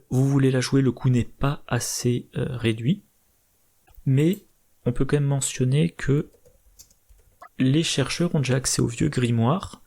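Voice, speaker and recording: A man with a slightly hoarse, gravelly voice walks through card game strategy in a methodical, explanatory way. He thinks aloud as he goes, with small hesitations and self-corrections. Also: mid-range voice, warm tone. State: slightly hoarse, irritated throat. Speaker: board game channel host.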